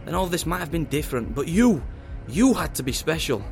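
An actor playing a controlling male villain in an audio drama, falsely tender, condescending and quietly threatening. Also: fairly high pitch, big pitch swings, brisk, faint music behind. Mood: bitter, mock-tender.

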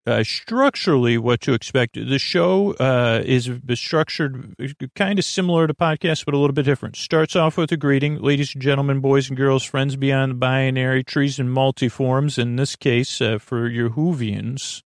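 A man hosting a sleep podcast in an unscripted, meandering monologue, halting, repeating himself and trailing off mid-thought. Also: medium pitch, no echo, studio quality. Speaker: podcast host.

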